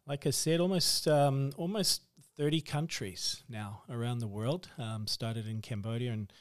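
A man with a New Zealand accent, a guest in a podcast interview, speaking in an earnest, measured way with ums. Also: mid-range voice, no echo, studio quality. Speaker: guest, ministry leader and author.

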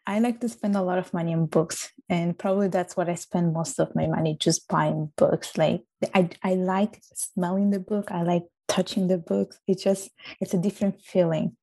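Woman w/ a strong italian accent, gravelly low voice, earnest chat. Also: steady tone, moderate pace, hardly any pauses. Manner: warm, earnest, emphatic. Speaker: interview guest. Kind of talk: casual interview chat.

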